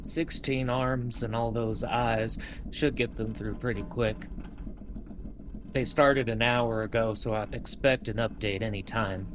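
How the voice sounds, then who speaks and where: A man's voice: mid-range voice, steady tone, unhurried, over music, lightly acted. A man playing an easygoing ship engineer. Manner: easygoing, matter-of-fact reporting. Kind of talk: audio drama dialogue. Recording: noisy audio drama recording.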